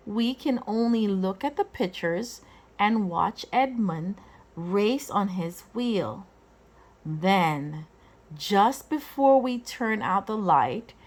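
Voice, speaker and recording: A woman reading a children's picture book aloud in an expressive way, phrasing deliberately and acting out the dialogue. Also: a little high. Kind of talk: expressive storybook read-aloud.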